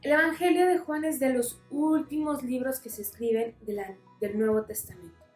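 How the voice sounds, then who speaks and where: High-voiced woman teaching Bible. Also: steady tone, moderate pace, a little mellow, roomy sound, faint music behind. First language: Spanish. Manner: friendly, explains with everyday examples. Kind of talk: explanatory Bible lesson to camera.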